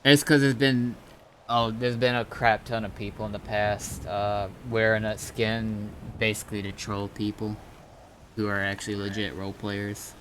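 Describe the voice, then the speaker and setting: A man streaming a game as an admin, chatting casually in a halting, explanatory way with some swearing. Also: lowish voice, flat delivery, fairly bright tone, a little hiss or hum.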